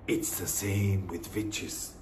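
A man voicing a calm, wise old grandmother in a storybook, speaking softly and reassuringly in a measured, hushed way. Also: sits low, noisy background, voice pushed down. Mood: grave, hushed.

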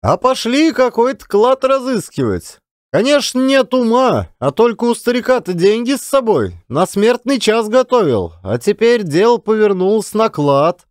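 A man's voice: sits high, very animated, moderate pace, no echo, clean studio sound, pitched above the performer's own voice. A man voicing a matter-of-fact hotel servant with servile, old-fashioned politeness, in an audiobook. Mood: deferential reporting. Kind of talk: dramatised audiobook.

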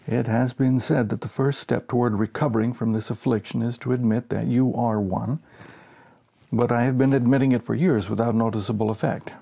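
A man in his sixties reads a humorous story aloud with expression. His voice is mellow and husky, with a gravelly fry running through it. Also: mid-range voice, steady tone, a little fast. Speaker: story reader. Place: small home office, indoors.

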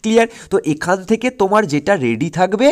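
A man with a high-pitched voice and a West Bengal Bengali accent gives an informal exam lesson. He explains each step instructively, restates key points and punctuates them with short check-in questions. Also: sing-song, fast. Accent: Indian Bengali, West Bengal. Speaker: exam-prep history teacher on an online channel.

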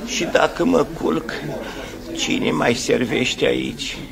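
An actor playing a weary, devoted old manservant, muttering with a creaky voice in a radio play. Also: male voice, medium pitch, animated. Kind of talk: radio theatre acting. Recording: old radio recording, music and crowd.

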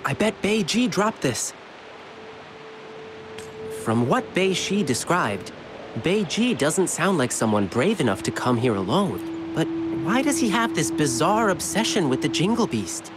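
Earnest, high-voiced young game hero. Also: male voice, very animated, unhurried, background noise. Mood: curious, confident. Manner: earnest, polite, reassuring. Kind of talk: scripted video game voice acting. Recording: game music under the voice. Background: background music.

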